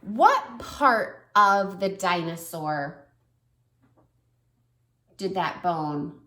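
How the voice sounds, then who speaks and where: A woman's voice: lowish voice, very slow. A woman in her thirties reading a picture book aloud to toddlers, stopping to ask them questions. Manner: warm, animated, asks children questions. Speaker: children's story reader and teacher. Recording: echoey room.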